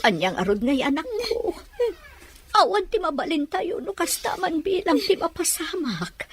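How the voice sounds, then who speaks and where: A woman's voice: high voice, big pitch swings, normal speed, slight background noise. A woman playing a worried, consoling mother in a domestic melodrama. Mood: sad, consoling.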